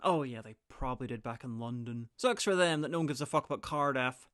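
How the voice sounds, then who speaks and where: A man's voice: mid-range voice, brisk, fairly bright tone. A man giving a sarcastic, mocking recap and review of a TV episode, with the odd swear word. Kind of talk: comedic recap commentary.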